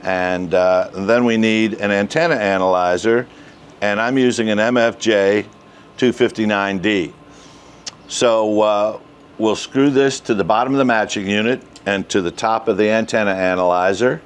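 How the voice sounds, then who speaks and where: An older man in his sixties giving a casual step-by-step tutorial outdoors as host, with halting, deliberate delivery. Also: fairly low pitch, clean tone. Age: 60s. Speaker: host, ham radio hobbyist. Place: outdoors, residential backyard and covered patio.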